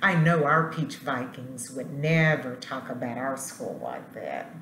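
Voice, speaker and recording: Woman, low voice, elementary principal, expressive picture-book read-aloud, roomy echo. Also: a little slow. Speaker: elementary school principal reading aloud to students. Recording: roomy echo, faint background noise.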